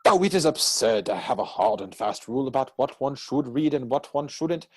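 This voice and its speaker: A man acting a witty, idle young gentleman from a period comedy. His voice is slightly husky and swings widely in pitch, with arch, playful self-satisfaction in a theatrical reading. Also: expressive, normal speed. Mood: teasing, probing. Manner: mannered, arch, theatrical delivery.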